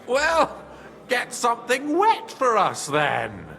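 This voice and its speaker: An actor playing a drunken, theatrical medieval lord with a drawling, teasing delivery in a game cutscene. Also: male voice, very high-pitched, huge pitch swings, slow pace, vocal fry, bright, forceful tone, heavily acted. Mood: demanding, scheming.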